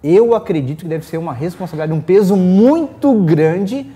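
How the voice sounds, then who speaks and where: A man's voice: high voice, expressive, normal speed, dark tone. A middle-aged man with a southern Brazilian accent, chatting casually as a racing guest on a panel. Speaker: guest drag racer. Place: small indoor studio set.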